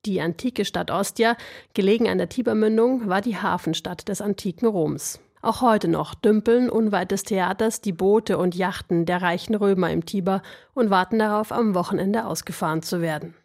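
A woman reads a scripted radio feature as a reporter. Her voice sits at a middle pitch and has a noticeable creak in it. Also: normal speed, darkish tone.

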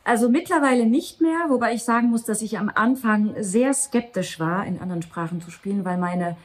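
A woman's voice: fairly high pitch, brisk. A woman in her thirties, a Luxembourgish native speaker, talking reflectively as an actress in an interview, with restarts and light laughs. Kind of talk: candid interview conversation.

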